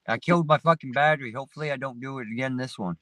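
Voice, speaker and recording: A man's voice: mid-range voice, quick pace, pushed and bright, no room sound. A man hosting a livestream panel, chatting casually in a rambling, profane, laid-back way. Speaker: livestream host. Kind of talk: casual chat. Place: sitting in his parked vehicle. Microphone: phone.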